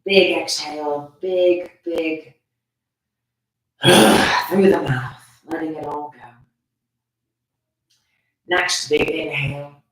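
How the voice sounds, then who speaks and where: Meditation instructor, soothing and unhurried, mid-pitched voice, heard from a distance in a reverberant space. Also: fairly even intonation. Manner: soothing, gentle, drawn-out phrasing with pauses. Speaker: meditation teacher. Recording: very echoey room, distant from mic.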